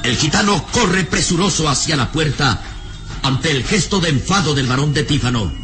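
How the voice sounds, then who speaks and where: A man's voice: a little high, lively intonation, moderate pace. Male radio serial narrator over music.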